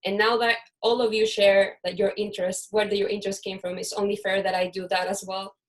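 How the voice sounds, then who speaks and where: A woman's voice: mid-range voice, flat delivery, a little fast, poor audio. Brief: woman from Chile, host of a casual video-call panel, chatty, mid-range voice, restarts sentences. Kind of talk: casual online panel chat.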